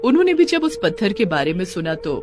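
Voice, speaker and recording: Expressive woman narrating a cartoon story. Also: medium pitch, fast, noisy background. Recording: background music under voice.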